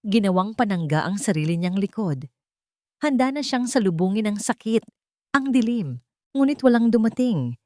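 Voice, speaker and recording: A woman narrating a dramatic scripted story in a deliberate, suspenseful way. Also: mid-range voice, big pitch swings, dark tone, no room sound, clean studio sound.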